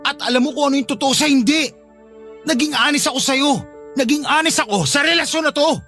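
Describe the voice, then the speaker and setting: A man's voice: extremely high pitch, brisk, sharp tone, faint music behind, full character voice. A man with a Filipino accent acting as a hurt, insistent young boyfriend in a radio drama, earnest and pleading. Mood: frustrated, hurt. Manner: earnest, pleading, emotionally pressing.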